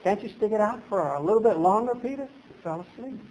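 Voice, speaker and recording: A man in his thirties preaching a sermon from a lectern in a small chapel, animated and emphatic, recorded by a camera at a distance. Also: high voice, quick pace, slight background noise. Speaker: pastor.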